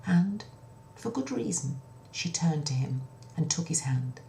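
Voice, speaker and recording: A woman storyteller with a low voice and some creak. She tells a children's tale theatrically, swooping in pitch and repeating words to build suspense. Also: expressive, unhurried, mellow, reverberant, slight background noise, poor audio.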